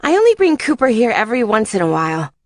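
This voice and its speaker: A woman's voice: fairly high pitch, very animated, quick pace, no room sound, studio quality, voice pushed up. A woman voicing a weary, wry young biker mother in an audiobook.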